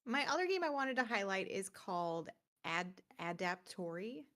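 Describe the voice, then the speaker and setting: A woman's voice: medium pitch, sing-song, moderate pace, fairly bright tone. A woman with a mid-range voice co-hosts a gaming podcast. She talks through game picks in a chatty, informal style. Her sentences ramble as she goes, like casual conversation.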